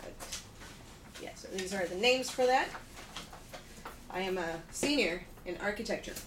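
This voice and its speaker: A woman's voice: medium pitch, lively intonation, a little slow, fairly bright tone. A woman in her thirties teaching English to a class in a classroom, speaking patiently and checking in with her students often. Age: 30s. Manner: patient, checks in with "okay?" and "right?". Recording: echoey room, distant mic, background noise.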